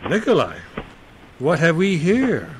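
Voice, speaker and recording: A man's voice: fairly high pitch, wildly swooping pitch, takes it slowly, a little hiss or hum, heavily acted, low-quality recording. A man playing a mocking police officer who taunts in a sneering way. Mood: taunting.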